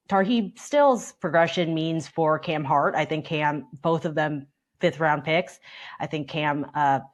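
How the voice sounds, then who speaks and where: A woman working as a sports reporter, chatting on a panel and thinking aloud in a conversational way. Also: on the low side. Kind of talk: casual sports panel talk.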